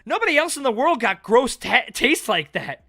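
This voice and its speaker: Guy doing a fired-up loyal manga villain, pitched up and loud, super dramatic read. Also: very animated, moderate pace, full character voice. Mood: urgent, fired-up. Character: hot-headed, desperately loyal manga villain gang member.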